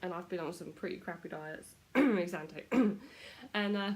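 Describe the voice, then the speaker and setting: A woman's voice: lowish voice, unhurried, darkish tone. Chatty British woman with a cold. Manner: chatty, self-deprecating. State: stuffy nose from a cold. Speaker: vlogger. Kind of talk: casual chat to camera.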